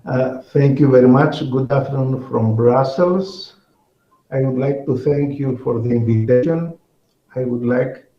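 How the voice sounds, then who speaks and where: A man from Greece, delivering a measured, formal prepared speech as a conference speaker over a remote link. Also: mid-range voice, echoey room, highs cut off. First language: Greek. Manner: measured, deliberate, with occasional repeated words.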